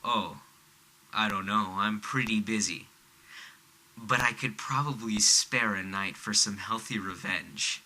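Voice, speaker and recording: Man acting a bright-voiced, hesitant young dropout. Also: fairly low pitch, slow pace, full character voice, poor audio. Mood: wry, bitter. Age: young adult. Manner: hesitant, stammering. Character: young male college dropout, awkward, casually bitter. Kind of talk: naturalistic audio drama dialogue.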